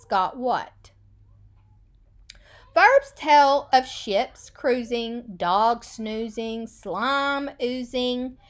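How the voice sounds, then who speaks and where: A woman in her thirties with a high voice, teaching young children through a read-aloud. She takes her time, speaking slowly and stressing key words in a sing-song way. She is recorded on a webcam in a small room. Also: a bit bright. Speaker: elementary school teacher. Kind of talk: read-aloud picture book lesson for children.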